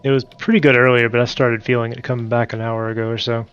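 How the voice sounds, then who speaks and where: A man's voice: medium pitch, little pitch movement, fast talker, fairly bright tone, clean tone, lo-fi sound. A man with a stuffed-up nose from allergies, casually thinking aloud while playing a multiplayer strategy game. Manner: thinking aloud, muttering to himself. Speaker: game streamer. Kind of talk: casual multiplayer gameplay commentary.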